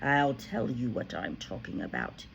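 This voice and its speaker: A towering, furious headmistress hurls insults in a loud, barking voice. The voice sits far higher than the narration and drags each word out slowly for menace. A bright, breathy tone runs underneath the bellowing. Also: sing-song, muffled recording, slight background noise, full character voice. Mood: screaming insults. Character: furious bullying female headmistress, huge and tyrannical. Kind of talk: audiobook character voice.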